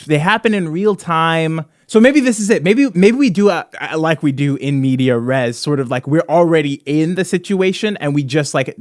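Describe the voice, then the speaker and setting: A man co-hosting a podcast in casual brainstorming chat, with a halting, digressive manner and casual swearing. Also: a little high, dry recording, clean studio sound. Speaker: podcast co-host.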